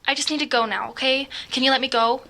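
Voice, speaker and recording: Tense, bright troubled teenage girl. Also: high-pitched, steady tone. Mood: fragile, insistent. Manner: tense, halting, defensive. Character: troubled, fragile, dramatic teenage girl, guarded and fearful. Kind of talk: audio drama acting.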